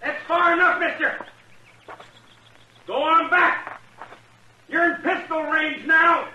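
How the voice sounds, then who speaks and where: A cornered American cattle thief, shouting desperately in a very high, sharp voice. It is old western radio drama acting. He sounds distant and echoey on a dull recording. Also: steady tone, takes it slowly, slight background noise, exaggerated voice. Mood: desperate, threatening. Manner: strained, shouted across distance.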